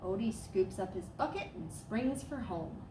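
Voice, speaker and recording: A woman reading a children's storybook aloud as a storyteller, with expressive, dramatic emphasis. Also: medium pitch, a little slow. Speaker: staff storyteller. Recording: roomy echo, clear background noise.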